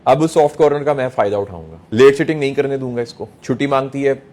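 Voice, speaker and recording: A man's voice: medium pitch, fast talker, darkish tone. Emphatic Pakistani man giving a motivational lecture. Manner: emphatic, repeats phrases, rhetorical questions; mixes English phrases into speech. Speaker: motivational speaker addressing young people.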